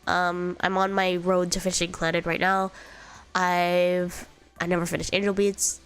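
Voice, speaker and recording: A woman's voice: on the low side, level tone, normal speed, a bit bright, clean tone, faint music behind. A young woman streamer with a congested, slightly sick voice, chatting casually and rambling through comments with lots of fillers and giggles. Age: young adult. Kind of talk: casual chat reading viewer comments.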